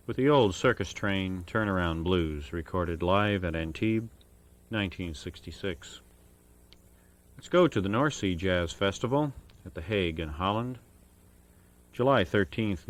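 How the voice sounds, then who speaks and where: A man working as a radio DJ, introducing jazz records in a measured, formal announcing style with careful enunciation of names and dates. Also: low voice. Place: radio studio. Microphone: broadcast studio microphone.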